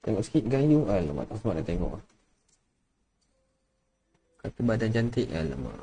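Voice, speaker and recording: Dark, gravelly Malay male streamer. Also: lowish voice, big pitch swings, a little fast, a little hiss or hum. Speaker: game streamer. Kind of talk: casual muttering over gameplay.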